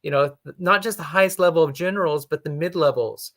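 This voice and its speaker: A man explaining leadership lessons in a casual, thoughtful way, often pausing with "you know". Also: fairly high pitch, a bit bright.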